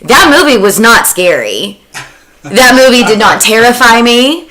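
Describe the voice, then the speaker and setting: A young woman in a casual podcast chat about movies, speaking in a blunt, animated way and slipping into sarcastic imitations. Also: fairly high pitch, unhurried. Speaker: horror podcast panelist. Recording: noticeable background noise.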